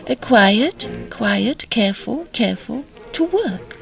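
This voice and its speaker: A genteel young Victorian lady, gentle and anxious, in an audio drama with music underneath. Her voice is high and expressive, her delivery unhurried. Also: vocal fry, heavily acted. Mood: obliging, puzzled. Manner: polite, genteel. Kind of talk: period audio drama acting. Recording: music behind the voice.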